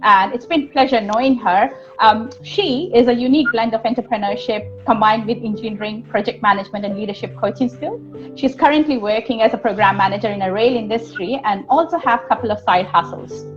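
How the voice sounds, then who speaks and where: A woman hosting a live online interview in a conversational way. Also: a little high. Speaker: host. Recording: dull lo-fi audio, faint music.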